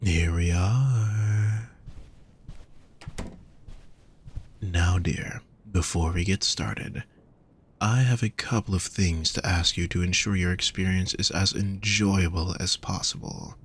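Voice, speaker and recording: A man playing a gentle, teasing male demon companion in a roleplay audio, speaking courteously with genteel endearments to the listener. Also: deep voice, measured pace, a little hiss or hum. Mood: courteous, inviting.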